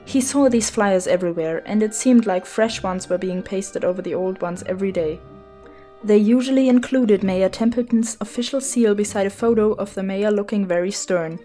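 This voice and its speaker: A woman's voice: mid-range voice, moderate pace, warm tone. A woman reading a children's story as its narrator, in a scripted storybook style. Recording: music behind the voice.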